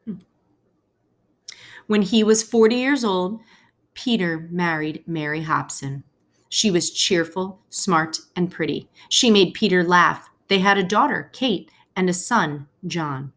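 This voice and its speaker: Woman reading a storybook aloud, lowish voice. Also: takes it slowly, a bit bright. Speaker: teacher reading a picture book aloud. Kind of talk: read-aloud storybook narration.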